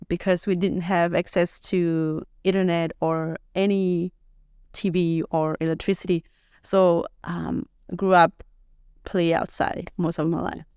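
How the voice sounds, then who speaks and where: A soft-spoken young woman who grew up on the Thailand-Burma border and whose first language is S'gaw Karen, telling her life story slowly and hesitantly as a podcast guest, with many pauses and fillers. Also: on the low side, clear, resonant tone, no echo, clean studio sound. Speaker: interview guest. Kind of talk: personal life story interview. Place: podcast studio.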